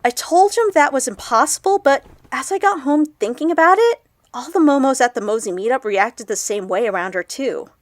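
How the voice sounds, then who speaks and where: A young woman confiding in her vlog audience in an audio drama. Her voice is on the high side, girlish and expressive, with an awkward, excitable streak. The sound is dry, with no room around it. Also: normal speed, dry recording. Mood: chatty, troubled.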